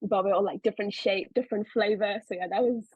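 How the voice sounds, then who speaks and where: A young woman with a South African accent talks easily as a podcast guest, loose and chatty, with plenty of fillers. Her voice is a little husky and sits at a middle pitch. The sound is dry and close. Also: steady tone, quick pace, a little mellow, dry recording, dull audio. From India. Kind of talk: casual interview chat.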